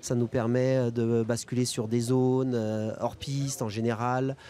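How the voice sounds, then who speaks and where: A man's voice: medium pitch, level tone, a little slow, warm tone. A young man speaking casually as an interview guest, hesitant and colloquial, into a handheld microphone outdoors. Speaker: guest freeride skier. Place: outdoors at a snowy ski resort event. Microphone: handheld reporter microphone.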